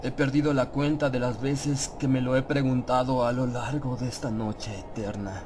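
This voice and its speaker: A man reading a first-person horror audiobook as narrator, with dramatic, measured storytelling and deliberate pauses. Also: medium pitch, fairly even intonation, background noise.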